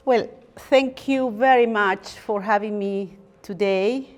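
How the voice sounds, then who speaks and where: A woman from Peru, a barrister giving a formal university lecture in a measured, thoughtful way with frequent pauses. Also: medium pitch, darkish tone. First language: Spanish.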